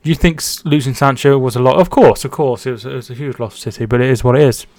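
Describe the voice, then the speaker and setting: A man's voice: medium pitch, fast talker, darkish tone. A man hosting a casual football watch-along stream from home, reading out chat and calling the match. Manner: chatty, repeats words and restarts while reading chat.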